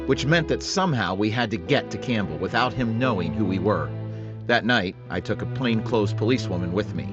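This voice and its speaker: An actor playing a terse, businesslike police sergeant who narrates the case in a clipped, matter-of-fact 1940s radio drama style. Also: male voice, lowish voice, close to an everyday voice. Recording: dull lo-fi radio sound with music bed.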